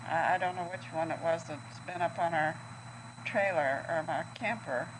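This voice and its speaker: A woman's voice: on the low side, normal speed. Hesitant older American woman on noisy tape. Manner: hesitant, homely, meandering. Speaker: grandmother recording a tape letter to family. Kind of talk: rambling personal audio letter. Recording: noisy home reel-to-reel tape from 1970.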